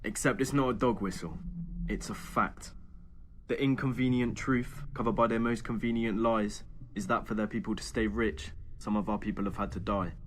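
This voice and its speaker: A man's voice: fairly low pitch, steady tone, normal speed, natural voice. A man playing a guarded, bitter underground club boss in an audio drama, terse and pointed. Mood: grave, accusing. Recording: faint ambient noise behind.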